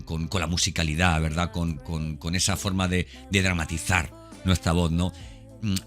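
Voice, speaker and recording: A man's voice: low-pitched, fairly bright tone. A man with an accent from Spain giving a casual, enthusiastic podcast monologue, repeating words and often checking for agreement. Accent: Spanish, from Spain. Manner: conversational and enthusiastic, repeats words, seeks agreement with tag questions. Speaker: podcast host.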